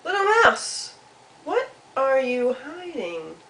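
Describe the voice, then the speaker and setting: Woman as a high, sing-song curious woodland critter. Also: very animated, slow, pushed and bright, roomy sound, slight background noise, heavily acted, rough recording. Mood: curious, eager. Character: friendly curious little woodland animals asking questions.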